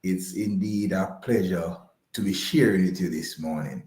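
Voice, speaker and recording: Guy being interviewed on radio, fairly deep, kinda formal and polite, echoey sound. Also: darkish tone. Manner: measured, courteous, slightly formal wording. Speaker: interview guest, financial advisor.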